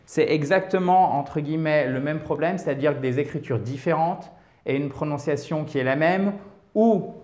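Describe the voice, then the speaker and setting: Man, French, English teacher, online lesson, slightly high voice, chatty, step-by-step explanations. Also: normal speed, a little mellow, roomy sound. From France. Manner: chatty, checks in often with "ok?" and "d'accord?".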